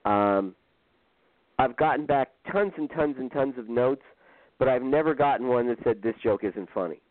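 A man's voice: medium pitch, animated, moderate pace, no room sound. Self-deprecating man on a tinny line. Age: 50s. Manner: self-deprecating, hesitant with many ums. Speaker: author guest. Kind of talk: casual interview. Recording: tinny phone-line sound, dry.

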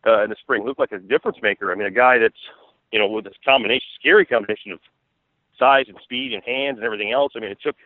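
A man's voice: lowish voice, fast talker, no echo. A man giving casual sports analysis as an interview guest, thinking aloud in a rambling, conversational way. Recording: tinny, dry phone-like radio line.

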